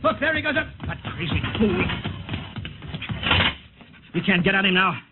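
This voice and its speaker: An actor playing a brisk, skeptical male flight commander, protective of his pilot, in 1950s radio drama style. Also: high voice, very animated, loud music behind the voice. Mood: alarmed. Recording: muffled vintage 1950s radio recording.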